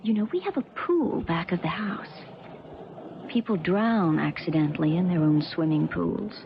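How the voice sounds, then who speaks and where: A woman's voice: lowish voice, expressive, normal speed, vocal fry, noisy background. An actress playing a cold, spoiled rich young woman in a 1970s radio drama, controlled, calculating and commanding. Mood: coolly scheming. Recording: dull, lo-fi 1970s radio recording.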